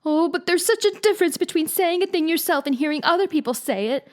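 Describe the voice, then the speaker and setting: A woman's voice: very high voice, expressive, quick pace, pitched above the performer's own voice. A woman voicing a fiery, imaginative young orphan girl who speaks in an impassioned, pleading way.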